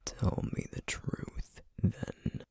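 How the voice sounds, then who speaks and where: A man playing a menacing, distrustful feral essence eater in an ASMR roleplay, speaking in a breathy, husky near-whisper with slow, threatening deliberation. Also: dry recording. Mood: cold menace. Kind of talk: ASMR roleplay audio drama.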